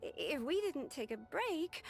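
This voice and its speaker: A woman's voice: high voice, very animated, slow pace, vocal fry, full character voice. A woman voicing a frazzled, overworked young office secretary who speaks in breathless, exaggerated exclamations. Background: game background music.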